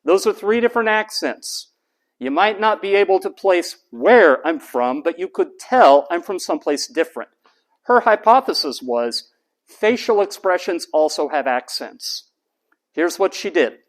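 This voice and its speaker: Animated, high-pitched male lecturer. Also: unhurried. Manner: animated, asks rhetorical questions and answers them. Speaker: university lecturer. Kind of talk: classroom lecture. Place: classroom.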